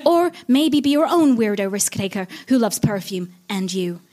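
A woman voicing a calm, kindly male frog puppet who speaks with gentle bluntness in a comic stage story. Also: mid-range voice, normal speed, natural voice. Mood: encouraging.

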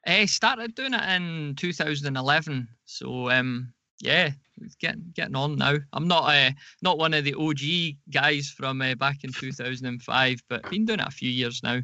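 A man's voice: mid-range voice, normal speed, sharp tone, no echo, dull audio. A man talking casually as a podcast guest. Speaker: podcast guest, audio drama producer. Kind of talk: casual chat.

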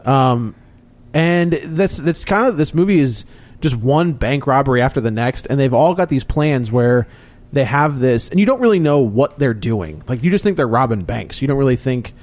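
A man's voice: medium pitch, lively intonation, normal speed, a little mellow. A man co-hosting a casual movie review podcast, rambling through plot recaps and laughing often. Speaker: podcast co-host.

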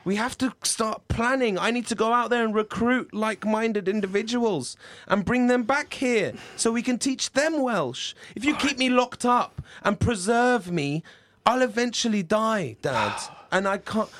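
A man's voice: mid-range voice, expressive, moderate pace, no echo, lightly acted. A man playing an earnest, sheltered nine-year-old son in improvised comedy, reasoning hesitantly and stumbling over his words. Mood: determined, pleading. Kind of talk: improvised comedy role-play.

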